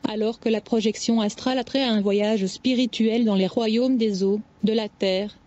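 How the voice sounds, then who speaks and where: A woman reading a first-person testimony aloud as steady, measured scripted narration. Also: on the high side. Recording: dull lo-fi audio.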